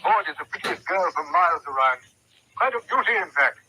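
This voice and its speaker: Pompous schoolmaster, English, old radio mystery: high-pitched, blustering, piercing delivery with background hiss. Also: male voice, lively intonation, a little fast, pushed and bright. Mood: chatty, informative. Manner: blustering, pompous, old-fashioned British exclamations. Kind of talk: old-time radio drama acting. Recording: thin, noisy vintage radio recording.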